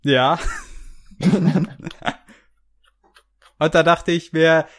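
A man's voice: a little high, steady tone, drawn-out words, clear, resonant tone. A man co-hosting a podcast in casual conversation. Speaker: podcast co-host. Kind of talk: casual chat.

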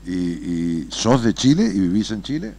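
A man's voice: sits low, big pitch swings, unhurried, a little mellow. A man, hosting a radio show, telling a parable with dramatic whispers and swells, then chatting with a caller. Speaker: radio host.

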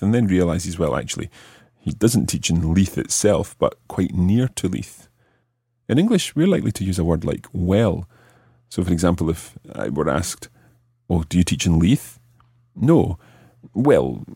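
A man with a low, clear voice teaches a language lesson in a deliberate way. His tone is mellow and the recording is dry and close, as he moves between explanations and French examples. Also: no room sound.

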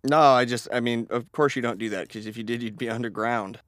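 A man's voice: mid-range voice, fairly quick, a bit bright, dry recording. Stuffed-up man, dry close mic. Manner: rambling, laughs at his own setup. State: stuffed up from allergies. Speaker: podcast co-host. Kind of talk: casual comedic banter.

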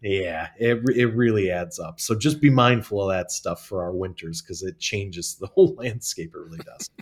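Young faculty guy, lowish voice, relaxed and talkative, fillers and chuckles. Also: moderate pace, slightly rough. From northern New York State. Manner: friendly, rambling, with fillers and chuckles. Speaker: law school faculty member, podcast guest. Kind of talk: casual interview answers.

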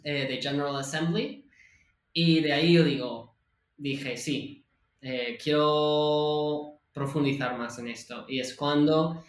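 A man's voice: on the high side, steady tone, slow. A man with an American accent speaking Spanish as a guest, telling his story in a chatty way with frequent fillers and restarts. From United States. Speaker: podcast guest. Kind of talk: casual interview storytelling. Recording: roomy echo.

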